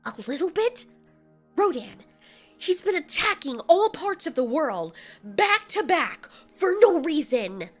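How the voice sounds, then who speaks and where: Lady doing a giant moth queen for a monster story, high voice, emotional n indignant, bit of music behind. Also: sing-song, takes it slowly, full character voice. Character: Mothra, compassionate female giant moth queen, pleading, proud.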